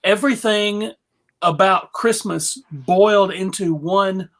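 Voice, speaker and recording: A woman on a panel chatting casually about films, halting and often searching for words. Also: medium pitch.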